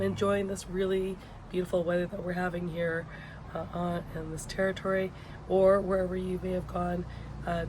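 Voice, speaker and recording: Woman, hoarse sick voice, medium pitch, instructor's informal vlog, halting delivery, outdoor patio with clear background noise. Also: poor audio. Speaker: university education instructor hosting a video blog. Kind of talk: informal course announcements to students. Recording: loud outdoor ambient vehicle noise.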